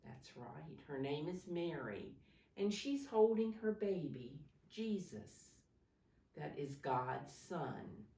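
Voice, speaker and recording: A woman reads a picture book aloud to preschoolers like a teacher, expressively and deliberately, addressing the young listeners directly. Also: low voice, lively intonation, gravelly, dark tone. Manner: slow, deliberate, pausing to ask young listeners questions. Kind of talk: expressive children's storybook read-aloud. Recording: roomy echo, distant microphone.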